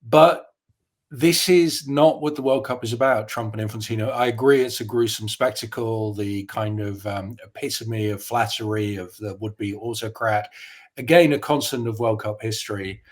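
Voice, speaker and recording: A man's voice: on the low side, moderate pace. A journalist in his fifties who grew up in the Netherlands, speaking as an interview guest in an articulate, opinionated, reflective way. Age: 50s. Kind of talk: conversational interview.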